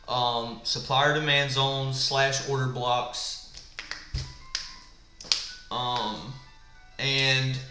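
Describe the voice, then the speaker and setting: A man in an echoey room with a gravelly, smoker-like voice. He sounds tired late at night and walks through his trading setup, asking and answering his own questions. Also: mid-range voice, sharp tone. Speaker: trading instructor. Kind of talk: live screen-share trading walkthrough. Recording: echoey room with faint background music.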